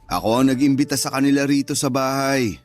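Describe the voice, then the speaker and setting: An actor playing a firm but considerate older brother in a radio drama. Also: male voice, mid-range voice, steady tone, a little fast, close to an everyday voice. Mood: stern, scolding. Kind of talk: Tagalog radio drama acting.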